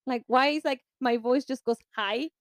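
Lively higher-voiced woman podcast co-host. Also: moderate pace, no room sound, studio quality. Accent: Spanish-speaking. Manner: lively, laughing, bilingual code-switching. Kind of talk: casual chat.

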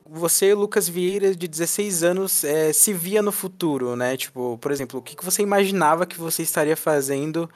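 A man's voice: a little high, brisk, hardly any pauses, dry recording, faint music behind. Chatty young man hosting a podcast. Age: 20s. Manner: rambling, joking, laughs easily. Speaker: podcast host. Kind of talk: casual chat.

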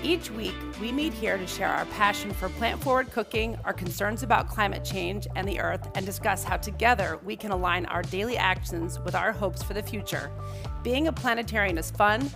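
A woman's voice: medium pitch, normal speed, vocal fry, music in the background. A woman hosting a podcast, talking in an upbeat, conversational way with occasional fillers. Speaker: podcast host. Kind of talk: podcast intro and interview.

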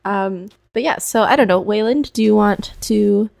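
A woman's voice: medium pitch, normal speed, gravelly, darkish tone, dry recording, studio quality. Upbeat woman hosting a podcast. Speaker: podcast host. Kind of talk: casual chat over video call. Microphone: video call.

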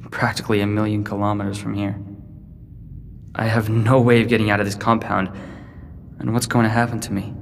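Anxious, creaky-voiced teenage boy. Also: lowish voice. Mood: gloomy, worried. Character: anxious, determined teenage boy stranded from home. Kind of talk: audio drama monologue and dialogue. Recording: long reverb, faint background noise.

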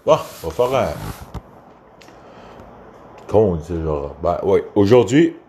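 Crude, very animated Québécois man ranting. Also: low voice, slow pace, slight background noise. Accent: Québécois French. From Quebec. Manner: crude, profane, hesitant rambling. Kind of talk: casual rambling rant.

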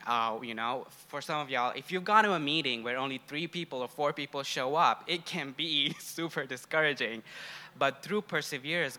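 A young man who grew up in Sri Lanka and India addresses a church congregation. His voice is strikingly bright and forceful, sitting at an average pitch. He talks in a conversational, personal way, like an informal opening to a sermon. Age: young adult. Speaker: guest preacher, grad student.

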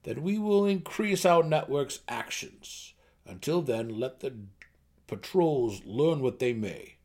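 A man's voice: mid-range voice, expressive, darkish tone, pitched above the performer's own voice. Man voicing a stately, commanding gnome king. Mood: calm, wary. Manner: stately, deliberate, authoritative. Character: gnome king, male, commanding, measured, calming his anxious council. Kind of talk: fantasy audiobook character voice.

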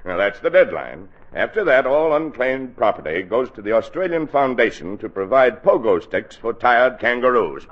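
On a muffled old radio recording, an officious lawyer. His medium-pitched voice rises and falls widely, formal yet blandly cheerful, with little hesitations, in 1940s comedy style. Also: big pitch swings, moderate pace, few pauses.

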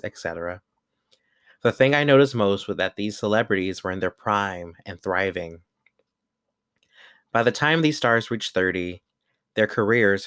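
A man in his twenties reads his own essay aloud, then reflects on it in a relaxed, candid way with frequent fillers. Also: low-pitched, no room sound, clean studio sound. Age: 20s. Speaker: vlogger.

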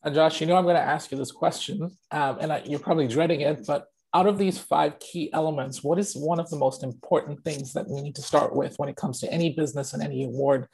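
A man hosting a webinar, hoarse as his voice recovers from a throat infection, talking directly to his audience in an upbeat way. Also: fairly high pitch, fairly even intonation, fast, hardly any pauses, darkish tone, rough recording. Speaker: webinar host. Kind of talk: webinar presentation.

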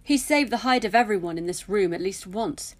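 A woman's voice: mid-range voice, expressive, a little fast, lightly acted. A smug young wizard lad from a storybook, played by a woman reading aloud. He drawls his words in a snide, needling way, teasing and self-satisfied. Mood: dismissive.

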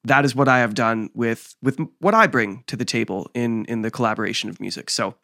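A man who hosts the podcast and works as a recording engineer explains his craft in a measured, deliberate way. His voice sits at an ordinary male pitch. The sound is close and dry. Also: gravelly, bright, forceful tone, dry recording. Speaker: podcast host, mastering engineer. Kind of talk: casual podcast conversation.

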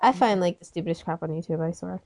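A woman's voice: on the low side, fast, a little mellow. A woman in her twenties chatting casually, rambling through stories and giggling often. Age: 20s. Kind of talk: casual chat.

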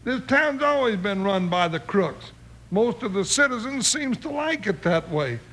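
An actor playing a big, folksy, blustering American deputy in an old-time radio western, with grumbling backwoods talk. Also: male voice, high-pitched, big pitch swings, a little slow, a bit bright, full character voice. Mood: eager, chatty. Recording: noisy old radio broadcast recording.

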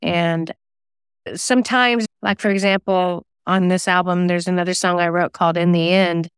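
Female interview guest, very clean smooth voice, chatty, dry close sound. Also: fairly low pitch, fairly even intonation, moderate pace, no echo. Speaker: interview guest, singer-songwriter and novelist. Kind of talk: casual interview chat.

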